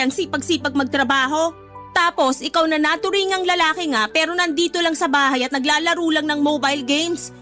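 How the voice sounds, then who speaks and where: An actress playing an exasperated, scolding but concerned older sister in a radio drama. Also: female voice, extremely high pitch, fairly bright tone. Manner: scolding, emphatic. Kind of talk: Filipino radio drama dialogue.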